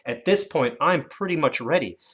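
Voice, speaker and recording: A teacher giving an instructional lesson for children, with animated, expressive storytelling emphasis. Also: mid-range voice, moderate pace, breathy edge. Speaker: elementary teacher.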